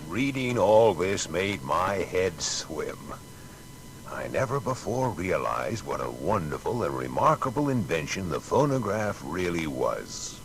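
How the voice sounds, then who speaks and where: An actor playing a proud male inventor recounting his discoveries in a deliberate, declamatory, gravelly voice for an audio biography. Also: sits low, sing-song, faint music behind, full character voice, low-quality recording. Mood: amazed. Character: Thomas Edison, American inventor recounting his discoveries with pride. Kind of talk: dramatised first-person narration.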